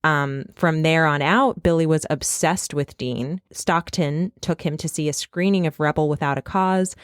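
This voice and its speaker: A woman with a low voice and a slight Midwestern accent tells a story on a podcast. She talks casually and laughs often. The mic is close and dry. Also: moderate pace, clean tone, dry recording. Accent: Midwestern American. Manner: chatty, laughs often, reads quotes aloud. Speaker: podcast host.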